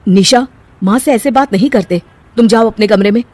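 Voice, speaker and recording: A woman voicing a gentle, long-suffering young husband in a cartoon family drama, mild and earnest. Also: medium pitch, a little fast, close to an everyday voice. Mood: calming.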